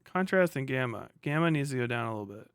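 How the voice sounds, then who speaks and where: A man's voice: medium pitch, expressive, fast talker, a bit bright, clean tone, no room sound. Dry-sounding man muttering on a livestream. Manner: muttering to himself, sweary. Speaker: livestream host. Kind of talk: casual unscripted livestream chat.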